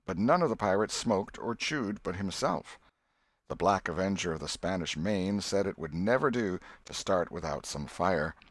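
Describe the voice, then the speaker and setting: A man reading a classic novel aloud as scripted narration, in a measured, expressive storytelling manner. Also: low-pitched, dry recording, studio quality. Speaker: audiobook reader.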